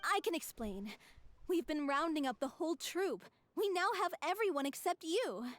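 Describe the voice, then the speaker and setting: A woman playing a brisk, teasing young woman in a video game. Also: very high-pitched, lively intonation. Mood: matter-of-fact.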